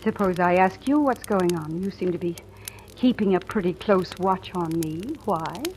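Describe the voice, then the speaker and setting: A woman's voice: lowish voice, normal speed, darkish tone, a little hiss or hum, lightly acted, rough recording. An actress playing a guarded, probing woman telling her suspense story in old-time radio drama style. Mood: probing, challenging. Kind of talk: 1940s-50s radio drama acting. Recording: old radio recording, music behind.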